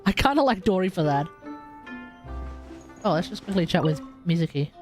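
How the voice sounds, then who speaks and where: A woman's voice: fairly low pitch, expressive, normal speed, a little mellow, soft background music. A woman with an Australian accent doing casual live game commentary to chat, informal and rambling, often repeating herself. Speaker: game livestreamer.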